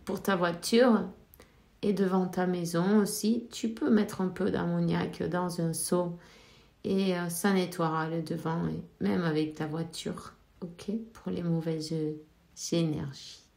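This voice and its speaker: A woman's voice: lowish voice, steady tone, gravelly, a little mellow, reverberant. A woman with a cold giving casual spiritual advice as a card reader, hesitant and full of fillers. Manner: slow, hesitant, many fillers. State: has a cold.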